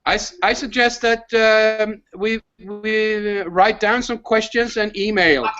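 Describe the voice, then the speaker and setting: A Norwegian man speaks as a teacher on an informal video call. His voice is high and bright, and his manner is polite and somewhat hesitant. The connection makes him sound a bit dull and lo-fi. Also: steady tone, slow pace, smooth.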